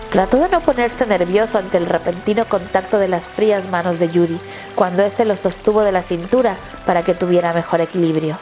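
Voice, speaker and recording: A woman narrator reading a scripted dramatic story in a measured, literary storytelling manner. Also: fairly low pitch.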